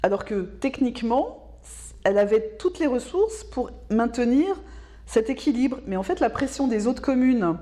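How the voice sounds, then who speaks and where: A woman giving explanatory interview answers as a specialist, in a measured, didactic way, repeating words for emphasis. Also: fairly high pitch, expressive, warm tone. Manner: measured, didactic, emphatic repetition, checks understanding with tags. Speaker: local government specialist being interviewed.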